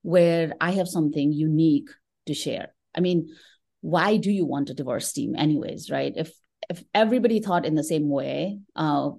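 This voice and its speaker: A woman's voice: low voice, normal speed, vocal fry, warm tone. A middle-aged woman from Nepal speaking reflectively as a guest in a conversational interview, often checking in with short tag questions. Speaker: podcast guest, tech professional.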